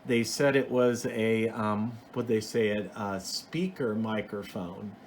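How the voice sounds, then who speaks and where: A man's voice: on the low side, slow. A man giving a casual, unscripted show-and-tell as a hobbyist host, explaining things in a rambling, plain-spoken way. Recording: clear background noise.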